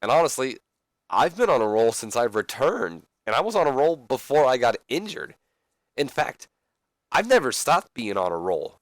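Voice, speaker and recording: A man's voice: medium pitch, very animated, moderate pace, no room sound, close to an everyday voice, rough recording. A man playing a confident, self-satisfied wrestling champion, boastful and earnest as in a promo.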